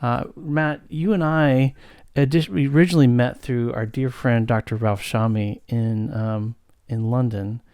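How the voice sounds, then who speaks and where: A man's voice: medium pitch, steady tone, measured pace, darkish tone, clean tone, no room sound, clean studio sound. A man hosting a casual interview, speaking informally and effusively with hesitant, conversational phrasing. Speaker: interview host, company founder. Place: remote video call from Texas.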